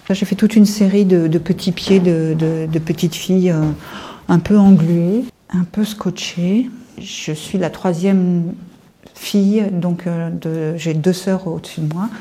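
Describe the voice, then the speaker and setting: A woman in her fifties speaks with a very dark, mellow tone and a fairly low pitch. She feels her way through her recollections, pausing and searching for words. The delivery is informal and reflective, like an artist guiding a visitor around her work. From France, Paris suburbs. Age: 50s. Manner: hesitant, halting, reflective storytelling. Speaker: visual artist being interviewed. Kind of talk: informal guided-tour interview.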